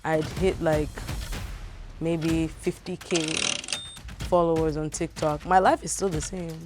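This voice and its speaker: Casting: young woman from Nigeria, low voice, easygoing and chatty, loose interview guest. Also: slow, over music. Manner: relaxed, chatty, many fillers. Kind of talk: casual interview.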